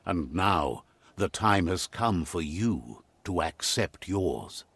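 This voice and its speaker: Give this a wry, wise storyteller a husky, lowish voice. The delivery is measured and theatrical, like a storybook fable in a video game, and the pitch rises and falls expressively. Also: male voice, very animated, a bit bright, dry recording, heavily acted. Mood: grave, ominous. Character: wry, wise male storyteller translating fable creatures' speech. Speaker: narrator.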